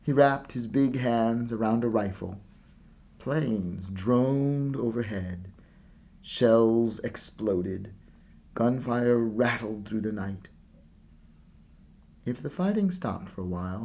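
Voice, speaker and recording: A man reading a children's picture book aloud expressively, with dramatic pauses and hushed phrase endings. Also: lowish voice, vocal fry, highs cut off. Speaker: storybook reader. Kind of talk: scripted narration, picture book read-aloud.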